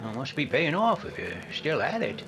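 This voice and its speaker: Man playing a creaky, animated, folksy cafe man. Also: on the high side, normal speed, background noise. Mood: friendly, gossipy. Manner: folksy, rambling, stop-and-start delivery. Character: friendly, chatty small-town male cafe man. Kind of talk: old-time radio drama acting.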